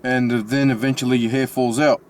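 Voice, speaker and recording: An Australian man with a slightly mixed accent from his Italian family, talking casually from inside his car with many pauses and restarts. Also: mid-range voice, flat delivery. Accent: Australian with Italian-family influence. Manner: halting, many fillers and restarts. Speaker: vlogger. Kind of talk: casual personal vlog.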